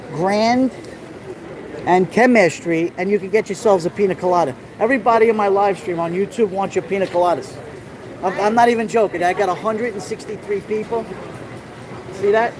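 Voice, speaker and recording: A middle-aged man with a New York accent, hosting a casual walking tour on a live stream. He chats loosely and greets his viewers by name. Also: high-pitched. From New York City. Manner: chatty, greets viewers by name, rambling asides. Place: outdoor city street during a festival, raining. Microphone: handheld phone camera. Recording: street noise around a phone mic.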